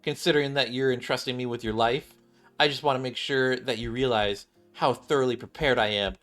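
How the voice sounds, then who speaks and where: An eager young woman survivalist from a video game, read out by a man. The rookie is proud and quick to defend herself, talking on with chatty overconfidence. Music plays behind the voice. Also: mid-range voice, fairly even intonation, fairly bright tone, close to an everyday voice. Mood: boastful, insistent.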